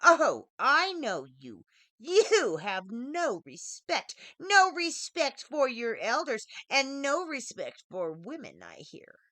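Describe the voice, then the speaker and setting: A woman acts out an old hag witch from a storybook, scornful and scolding. Her words are hissed and stretched for emphasis, high and sharp, with big swings in pitch. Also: wildly swooping pitch, no room sound, heavily acted. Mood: angry scolding. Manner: hissing, scolding, drawn-out emphatic delivery.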